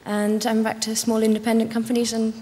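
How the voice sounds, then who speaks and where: A woman's voice: fairly high pitch, monotone, a little fast, dark tone, a little hiss or hum. A young woman from Carlisle, England, introducing herself plainly as a singing contestant. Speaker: contestant singer. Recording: reverberant TV studio.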